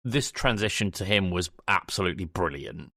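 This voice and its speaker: A man's voice: low voice, expressive, moderate pace, pushed and bright, dry recording, studio quality. A man hosting a podcast, giving casual film commentary in a conversational way, rephrasing as he goes. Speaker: podcast host.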